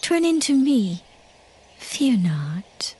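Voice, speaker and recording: A woman's voice: on the high side, big pitch swings, extremely slow, darkish tone, breathy edge, exaggerated voice. A woman playing an inviting tent wife who coaxes and lures in a dramatized Bible audio drama, drawing out her words. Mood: coaxing, beckoning. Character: Jael, inviting tent wife luring a fugitive commander.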